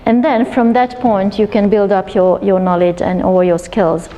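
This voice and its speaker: A woman's voice: mid-range voice, little pitch movement, moderate pace, dark tone. A woman, an educational consultant presenting a coursebook to teachers, explaining with frequent restarts and fillers. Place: lecture hall at a university. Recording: long hall reverb.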